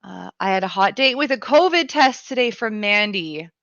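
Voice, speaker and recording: A woman radio host who is sick with a cough, talking frankly and casually and swearing now and then. Her voice is bright and forceful but sits right on the mic, with a dry, dull, lo-fi sound. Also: medium pitch, animated, normal speed, no echo. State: sick with a cough and chest tightness. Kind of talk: casual chat reading listener comments. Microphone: close studio mic.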